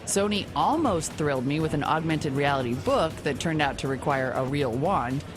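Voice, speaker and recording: A woman's voice: sits low, moderate pace. A woman host narrating a scripted report in a wry, opinionated way. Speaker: show host. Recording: music bed underneath.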